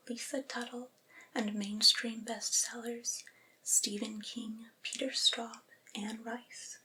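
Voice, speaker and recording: A woman reading aloud in an ASMR style in a breathy, unvoiced whisper, phrasing each clause deliberately and carefully. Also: on the high side, pushed and bright, low-quality recording. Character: devoted servile female vampire's familiar soothing master.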